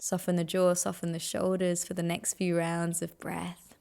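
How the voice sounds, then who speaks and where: A woman leading a guided meditation in a soothing, unhurried manner, giving gentle instructions with pauses. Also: on the low side, monotone, gravelly, clear, resonant tone.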